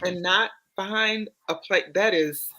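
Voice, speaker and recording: A woman's voice: mid-range voice, takes it slowly, pushed and bright. A woman with an American accent speaking as a guest author in a casual interview, earnest and emphatic, repeating phrases. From United States.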